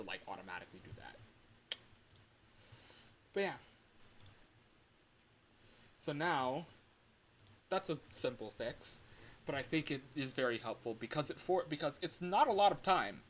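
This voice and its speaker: A man's voice: medium pitch, big pitch swings, unhurried, long pauses. A man chatting casually on a livestream as he shows a game he is making, thinking aloud and repeating his phrases. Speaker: livestream host and game developer. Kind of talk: casual livestream chat while demonstrating a game in development. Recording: clear background noise with clicking.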